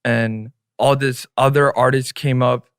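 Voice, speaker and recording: A man's voice: mid-range voice, little pitch movement, a little slow, fairly bright tone, smooth, no room sound, studio quality. A man whose first language is Korean, co-hosting a podcast in English and explaining at length, dropping into Korean phrases now and then. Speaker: podcast co-host. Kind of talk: casual podcast discussion.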